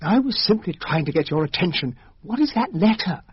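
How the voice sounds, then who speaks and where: An actor playing a genteel, affable, slightly diffident gentleman companion to a detective in a radio drama. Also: high-pitched, very animated, fairly quick, breathy edge, exaggerated voice. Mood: curious. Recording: dull lo-fi radio recording.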